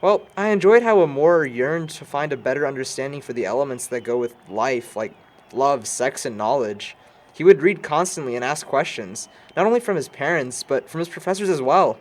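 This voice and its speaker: A man's voice: mid-range voice, expressive, normal speed, lightly acted. A man acting a bookish, dry-witted young man in an amateur audio drama, talking in a formal, wordy way. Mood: reflective. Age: young adult.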